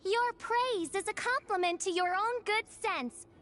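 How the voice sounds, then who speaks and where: A woman's voice: very high-pitched, unhurried, fairly bright tone, soft background music. A woman voicing a haughty, theatrical princess girl in an anime game, speaking in pompous, archaic, grandiose phrases. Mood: proud.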